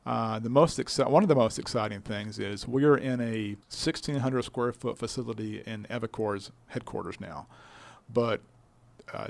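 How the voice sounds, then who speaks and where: Gravelly man explaining in a radio interview. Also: mid-range voice, darkish tone, no room sound. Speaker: business incubator director being interviewed.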